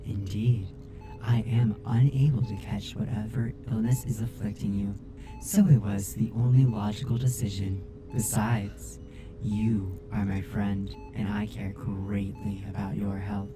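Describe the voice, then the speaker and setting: A man voicing a caring robot crewmate in an ASMR roleplay, speaking in a formal, precise, measured way. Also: lowish voice, close to an everyday voice, rough recording. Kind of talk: ASMR roleplay audio drama.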